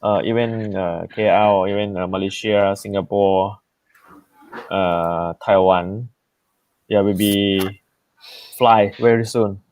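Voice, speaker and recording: A man with a somewhat low voice, speaking as a guest in a casual chat. He pauses often and fills the gaps with uhs. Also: fairly even intonation, darkish tone, rough recording. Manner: hesitant, many uhs, short agreements. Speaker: restaurant guest.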